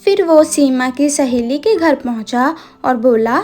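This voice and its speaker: A woman's voice: high voice, measured pace, mellow, faint music behind. A woman narrating a scripted cartoon story as the storyteller.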